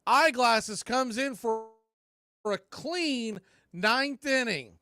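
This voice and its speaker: A man's voice: extremely high pitch, slow pace, very noisy. A man hosting a baseball radio show, giving play-by-play and fan commentary on the game. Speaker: baseball play-by-play announcer and call-in show host.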